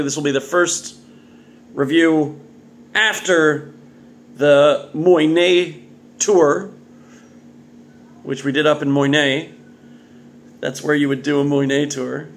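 A man in his thirties hosting a casual, off-the-cuff video review in a jokey, exclamatory way, recorded in a home kitchen at arm's length. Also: on the high side. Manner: animated, exclamatory, jokey delivery with muttered asides. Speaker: beer reviewer and vlog host. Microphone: phone or webcam at arm's length.

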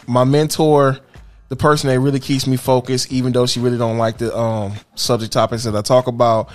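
Man, podcast co-host, home studio desk mic, loud joking delivery. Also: medium pitch. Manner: loud, joking, laughs often. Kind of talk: crude casual podcast banter. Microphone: studio mic on desk stand, headphones.

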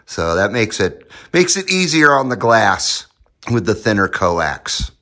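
A man's voice: on the low side, very animated, unhurried, pushed and bright, no room sound, studio quality. A middle-aged man giving a casual, enthusiastic hands-on demo in an informal way, as host of a hobby channel. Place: small indoor garage, partly from inside a car.

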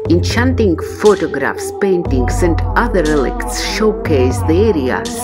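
A woman reading a scripted tour narration in a smooth, even voice-over, with loud music behind her. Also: deep voice, measured pace.

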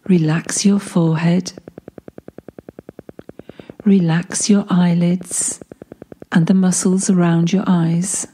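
A woman guiding a meditation in a calm, soothing way, leaving long pauses between phrases. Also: on the low side, a little hiss or hum. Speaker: guided meditation instructor and life coach. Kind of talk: guided meditation narration. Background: soft healing-frequency sound.

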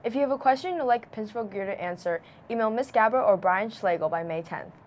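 A woman's voice: on the high side, animated, quick pace, darkish tone, a little hiss or hum. A teenage girl anchoring a school morning news show, reading announcements in an upbeat, scripted way from a studio desk. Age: teens.

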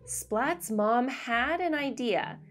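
A woman reading a children's storybook aloud in an expressive, deliberate storyteller style. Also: on the high side, faint music behind. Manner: animated, deliberate storyteller delivery. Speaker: children's read-aloud host. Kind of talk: expressive scripted storybook narration for kids.

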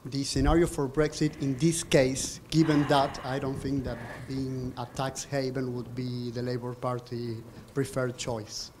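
A man's voice: medium pitch, flat delivery, unhurried, few pauses, background noise. Young man from Argentina asking a question. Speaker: student in the audience. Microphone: handheld mic.

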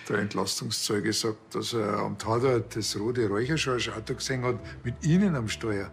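A man's voice: fairly low pitch, normal speed, gravelly, faint music behind. An actor playing a blunt, complaining policeman with a Bavarian accent in a TV drama. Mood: matter-of-fact. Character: indignant, blustering male Bavarian policeman.

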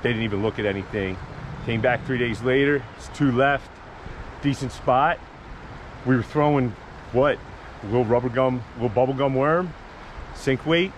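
A man telling a fishing story outdoors in an excited, rambling way, restarting his sentences. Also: medium pitch, moderate pace, long pauses. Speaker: fishing buddy. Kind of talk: casual outdoor chat. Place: outdoors by a creek. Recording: outdoor noise in the background.

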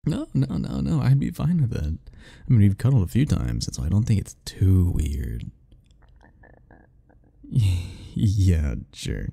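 A man's voice: low voice, big pitch swings, takes it slowly, creaky, dark tone, breathy edge. A young man doing an ASMR roleplay as a teasing, easygoing roommate talking to the listener, laughing often.

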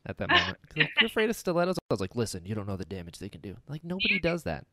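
A man hosting a podcast, enthusiastic and animated, often acting out little quoted exchanges. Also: medium pitch, very fast, no echo. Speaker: podcast host. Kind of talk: casual interview chat.

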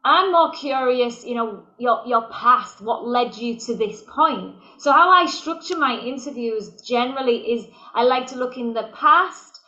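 A woman hosting a video-call interview, with a high, sharp, bright voice. She talks in a friendly, rambling way and repeats words as she goes. The sound is a little dull, like call audio. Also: lively intonation, unhurried, few pauses. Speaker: interview host.

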